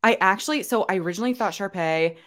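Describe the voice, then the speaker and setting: A woman's voice: mid-range voice, fast talker, a bit bright. A young woman co-hosting a casual podcast chat, talking loosely with many fillers and restarts. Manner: chatty, lots of fillers and restarts. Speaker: podcast co-host.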